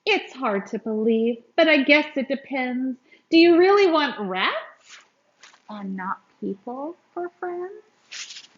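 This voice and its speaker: A woman's voice: high-pitched, lively intonation, slow pace, highs cut off. Woman as an earnest, emphatic storybook superhero man. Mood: earnest, pleading. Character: earnest caped recycling superhero man, helpful and preachy.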